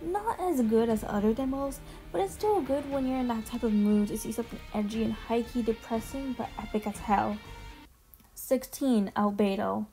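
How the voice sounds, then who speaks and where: A woman with a fairly high voice talks casually, as if running through a ranked list for viewers. She is informal and chatty and swears freely. Music plays faintly behind her. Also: normal speed, warm tone, low-quality recording. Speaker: video host ranking game character demos. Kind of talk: casual commentary over video clips. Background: background music.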